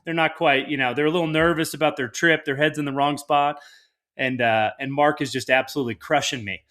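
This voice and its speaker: A man's voice: fairly high pitch, fairly even intonation, brisk, pushed and bright. A man hosting a podcast in a casual, conversational interview style, with a jovial, self-deprecating manner. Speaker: podcast host.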